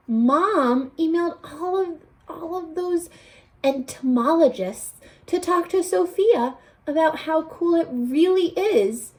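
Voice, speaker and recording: A woman teacher reading a picture book aloud to young children, expressively, pausing to put questions to them. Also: a little high. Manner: animated, addressing children with questions and pauses.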